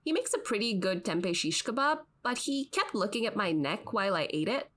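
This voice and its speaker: A woman's voice: medium pitch, animated, brisk, fairly bright tone. An actress playing a dry, skeptical podcast host, the exasperated straight woman in scripted comic banter. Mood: exasperated, defensive. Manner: wry, skeptical.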